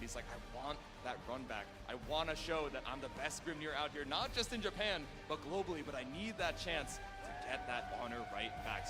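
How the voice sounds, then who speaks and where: A man giving live play-by-play and analysis as a commentator at an esports tournament. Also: on the high side. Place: event stage with audience, heard through a stream.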